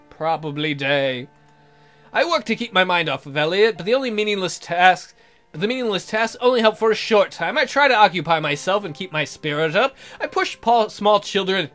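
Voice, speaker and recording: Male let's player, high lively voice, narrating a romance game theatrically with joking asides, music behind. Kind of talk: reading a visual novel aloud with joking asides.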